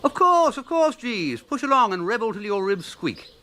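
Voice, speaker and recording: An actor playing a breezy, idle young English gentleman in a radio comedy, with an upper-class accent and flippant, slangy speech. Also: high voice, sing-song, moderate pace, slight background noise, heavily acted. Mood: cheerful. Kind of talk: radio comedy drama acting.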